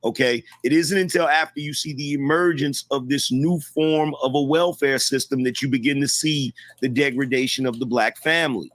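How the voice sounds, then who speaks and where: A man's voice: medium pitch, moderate pace, hardly any pauses, dry recording. A man arguing in a heated debate, insistent and repeating himself when he is cut off. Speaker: debate guest.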